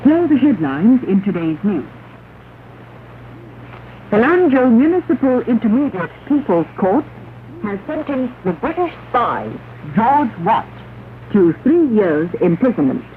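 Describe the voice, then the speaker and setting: A woman radio announcer reads a formal, measured, declamatory propaganda news bulletin on a 1960s shortwave broadcast. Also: a little high, big pitch swings. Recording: narrow, noisy 1960s shortwave radio recording.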